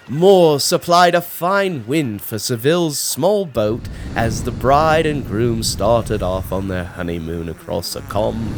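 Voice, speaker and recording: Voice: medium pitch, a bit bright, a little hiss or hum. A storybook reader narrating for children in a theatrical way, with dramatic emphasis. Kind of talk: animated children's read-aloud narration.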